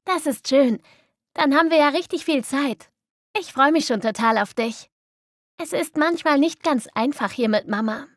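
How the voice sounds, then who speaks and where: A woman's voice: sits high, moderate pace, no echo, studio quality, close to an everyday voice. A woman playing an obliging, slightly exasperated daughter passing on messages in an acted language-learning dialogue. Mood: friendly.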